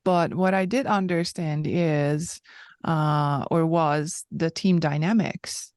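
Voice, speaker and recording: A woman in her thirties with a low, smooth voice, recounting her story as an interview guest. She speaks slowly and reflectively, pausing often. The sound is dry and close. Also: steady tone, a little mellow, no room sound. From Hungary. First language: Hungarian. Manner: thoughtful and reflective, with frequent pauses.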